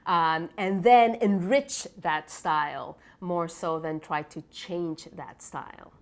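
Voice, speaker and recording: Lower-pitched woman from Peru, reflective. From Lima, Peru. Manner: halting, reflective, often seeks agreement with tag questions. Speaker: developmental psychology researcher being interviewed. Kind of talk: explanatory interview answers.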